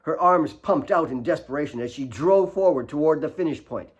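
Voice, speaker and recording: Male fiction narrator; fairly high, very animated voice, expressive storytelling. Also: brisk, breathy edge, dull audio. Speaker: author reading own fiction.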